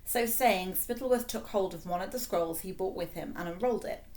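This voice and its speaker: A woman narrating a children's story in an expressive read-aloud style. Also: medium pitch, brisk. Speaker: story reader.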